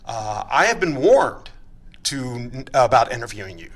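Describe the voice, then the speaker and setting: A man's voice: mid-range voice, big pitch swings, unhurried. A man hosting a podcast interview who sounds nervous and hesitant, stumbling through questions with fillers and restarts. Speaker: podcast host.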